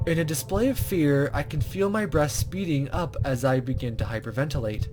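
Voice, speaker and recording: A man's voice: a little high, normal speed, darkish tone, soft background music. A man doing let's play commentary, reading visual novel text aloud and stumbling over unfamiliar words. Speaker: gaming video host.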